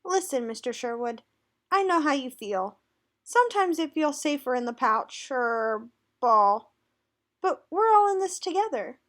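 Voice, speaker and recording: A woman voicing a timid but caring young kangaroo pupil in a picture book, gentle and reassuring. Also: high voice, very animated, a little slow, exaggerated voice. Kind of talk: picture book read aloud.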